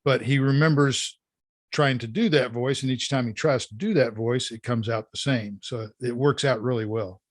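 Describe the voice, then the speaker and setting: A man's voice: medium pitch, normal speed, no echo, clean studio sound. A man with a Florida accent hosting a podcast, folksy and plainspoken in casual talk. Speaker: podcast host.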